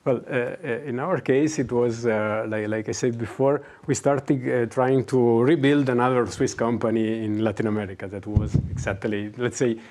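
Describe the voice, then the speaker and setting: A man speaking as a panelist in a business webinar, talking haltingly with many restarts and fillers. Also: medium pitch, steady tone, darkish tone.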